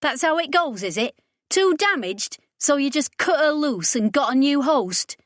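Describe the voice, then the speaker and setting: A woman acts out a scrappy female spacer from a science fiction audiobook. Her voice is very high, with blunt, colloquial phrasing and an indignant, challenging temper. It is recorded dry and close. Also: animated, measured pace, fairly bright tone, no room sound. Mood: outraged.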